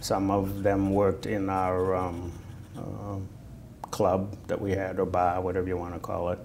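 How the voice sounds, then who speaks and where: A man in his sixties with a Boston accent, recalling his army service in a halting, conversational oral history interview. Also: low voice, completely flat delivery, gravelly, a little mellow. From Natick, Massachusetts, United States. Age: 60s. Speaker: Vietnam War veteran being interviewed. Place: indoor room, seated on camera. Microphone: lapel. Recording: noticeable background noise.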